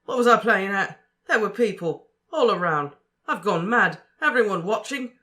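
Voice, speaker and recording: An excitable teenage boy blurts and exclaims, loud and agitated, as a storyteller plays him. The pitch rides above the reader's own, bright and forceful. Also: moderate pace. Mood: panicked.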